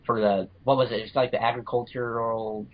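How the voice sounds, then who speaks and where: Mid-pitched man reviewing earnestly, dull lo-fi audio. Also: little pitch movement, fairly quick. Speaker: podcast co-host and reviewer. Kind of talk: casual review chat.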